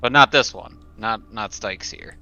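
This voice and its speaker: A man playing a tabletop roleplaying game, halting and thinking aloud as he moves between table talk and casual in-character lines. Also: fairly low pitch, gravelly, bright, forceful tone, soft background music. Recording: dull sound, faint noise.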